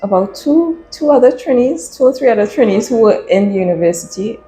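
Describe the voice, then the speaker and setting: A Trinidadian woman in her thirties, a guest telling a story in a casual interview, animated and laughing often. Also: a little high, a little fast, roomy sound, soft background music. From Trinidad and Tobago.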